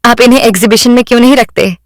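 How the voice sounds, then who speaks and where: A woman voicing a kind, encouraging lady sculptor in a cartoon moral story, speaking in a gentle, earnest way. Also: on the high side, fast talker, no room sound, studio quality, close to an everyday voice.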